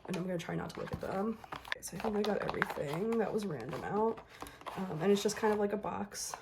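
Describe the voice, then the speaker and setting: A young woman with a hoarse voice, chatting casually to camera while unboxing albums, with many ums and bursts of excitement. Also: mid-range voice, heavy background noise. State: hoarse, recovering from illness. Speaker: K-pop album unboxing vlogger.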